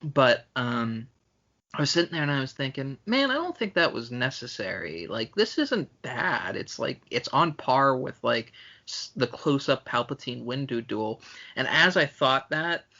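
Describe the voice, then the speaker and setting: A man's voice: medium pitch, very animated, measured pace, pushed and bright, highs cut off. A man co-hosting a podcast in casual film chat among friends, rambling conversationally and swearing freely. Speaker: podcast co-host.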